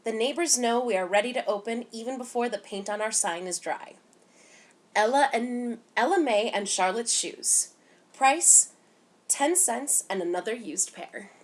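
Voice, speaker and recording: A woman reading a picture book aloud to children in an expressive, animated way, giving emphasis to the dialogue. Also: on the high side, a little slow. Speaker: children's story time reader.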